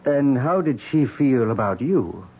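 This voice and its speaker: An actor playing a calm, probing psychiatrist in a 1970s radio drama, measured and clinical. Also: male voice, mid-range voice, husky. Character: calm, probing male psychiatrist, distinguished and patient. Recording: thin, muffled old radio broadcast.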